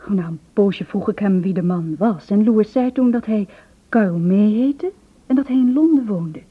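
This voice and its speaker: Secretive young wife, husky voice, hesitant and earnest, muffled tinny old radio play. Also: female voice, medium pitch, animated, moderate pace. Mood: grave, urgent. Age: 20s. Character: nervous, secretive married woman of about twenty-seven, confiding. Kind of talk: radio drama acting.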